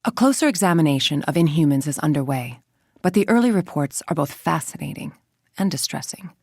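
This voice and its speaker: A woman's voice: sits low, lively intonation, fairly quick, a little mellow, breathy edge, no room sound, full character voice. Haughty evil lady scientist for a video game, controlled and menacing, really dry close sound. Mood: clinical, stern. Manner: controlled, precise, condescending. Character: cold, menacing woman scientist villain, self-righteous and haughty. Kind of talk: video game voice acting, scripted dialogue and briefings.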